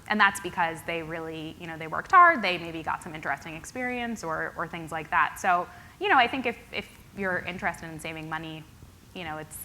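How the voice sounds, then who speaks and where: Low-pitched woman answering hesitantly on a panel. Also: bright, forceful tone, slight background noise, rough recording. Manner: hesitant, many fillers. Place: conference hall.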